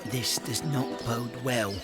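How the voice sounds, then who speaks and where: A man playing a friendly, steady young villager in an audio drama, speaking in measured, formal, old-fashioned language. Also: medium pitch, over loud music, lightly acted. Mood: cautious.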